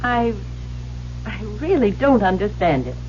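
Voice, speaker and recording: A meek and dutiful secretary in a radio play. She speaks hesitantly and politely with a very mobile pitch, through a muffled old broadcast. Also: sing-song, a little slow, darkish tone, slight background noise. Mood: dutiful, puzzled. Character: timid, dutiful, deferential female secretary. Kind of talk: old-time radio drama acting.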